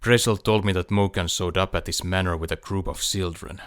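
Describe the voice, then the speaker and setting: A man acting a loyal military officer in an audiobook, briefing a superior in a measured, matter-of-fact way. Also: low-pitched, fairly even intonation, a bit bright, smooth, dry recording, studio quality. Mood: dutiful, factual. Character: loyal male military officer reporting to his commander. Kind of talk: fan audiobook character acting.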